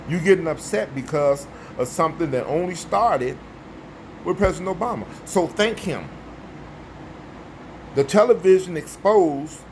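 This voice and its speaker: A man with a baritone voice giving an emphatic, preachy opinion monologue, repeating his points for effect. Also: a little hiss or hum, poor audio. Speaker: vlogger.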